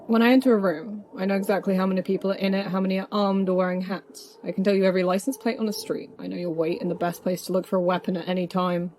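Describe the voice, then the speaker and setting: A woman's voice: mid-range voice, flat delivery, fast talker, hardly any pauses, darkish tone, a little hiss or hum, close to an everyday voice, poor audio. A woman playing a confident, observant security operative in an audio drama, with a blunt, dry way of talking. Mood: intense, confiding. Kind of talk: naturalistic audio drama acting.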